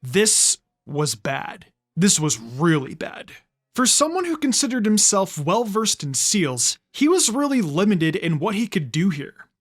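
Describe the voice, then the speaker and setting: A storyteller reads fan fiction aloud as scripted narration. The pitch sits in a middle register and moves around in a lively, expressive way. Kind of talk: scripted narration, audiobook-style story reading.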